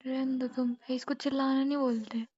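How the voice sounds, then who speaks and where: A woman's voice: sits high, flat delivery, moderate pace, darkish tone, slightly rough, no room sound. A young woman chatting casually and intimately with her partner on a phone call, in a questioning, teasing way. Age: young adult. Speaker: girlfriend. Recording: muffled lo-fi phone call recording.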